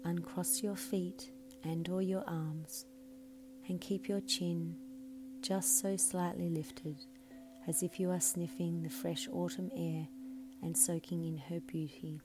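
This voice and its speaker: A woman leading a scripted guided meditation in a soothing, measured way, her phrases often softening into breathiness. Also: fairly low pitch, little pitch movement, over music. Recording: clearly audible background hum and noise.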